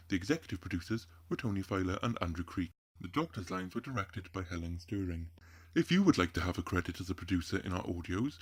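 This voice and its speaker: A male announcer with a fairly low voice. He delivers a scripted credits read at the close of an audio drama.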